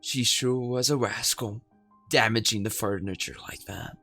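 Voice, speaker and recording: Guy streamer reading spooky game text real slow, faint music under it. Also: fairly low pitch, pushed and bright. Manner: slow, dramatic storytelling reading. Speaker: gaming streamer. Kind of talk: reading a horror game's story aloud.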